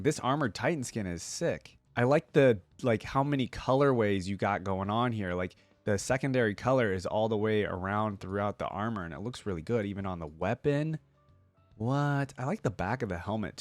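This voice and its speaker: A man's voice: on the low side, dry recording. A man hosting a reaction video, talking informally and thinking aloud as he comments and reads along. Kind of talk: casual reaction commentary, reading wiki text aloud.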